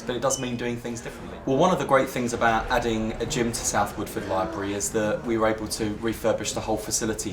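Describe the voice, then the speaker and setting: Council official, male, lowish voice, echoey room, crowd chatter behind. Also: noisy background. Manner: confident, polished official talk. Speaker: council spokesman. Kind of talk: interview.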